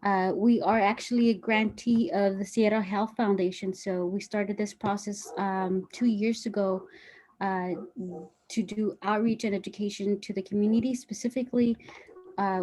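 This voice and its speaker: Flat, mellow-voiced woman. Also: medium pitch, rough recording. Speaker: nonprofit staff. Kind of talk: self-introduction.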